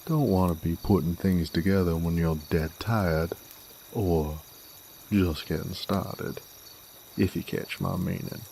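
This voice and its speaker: Deep, slow-drawling Southern cowboy rogue, acted by a man. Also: steady tone, creaky, warm tone, a little hiss or hum, full character voice. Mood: sly, flirtatious. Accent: American Southern. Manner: drawling, folksy, teasing. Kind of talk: scripted roleplay audio drama.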